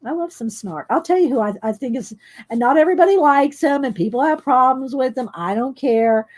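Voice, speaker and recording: A woman's voice: high voice, expressive, fairly quick. Chatty woman with a carrying voice. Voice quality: loud voice that carries. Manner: chatty and rambling, laughs often. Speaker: coloring channel host. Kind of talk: casual chat while coloring on a livestream.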